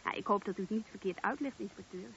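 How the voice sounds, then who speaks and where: A woman's voice: medium pitch, quick pace, vocal fry, fairly bright tone, slight background noise. A woman playing a nosy, gossipy witness in a radio drama, coy and defensive in manner. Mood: coy, confiding. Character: nosy, gossipy female witness feigning propriety. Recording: dull, muffled old radio drama recording.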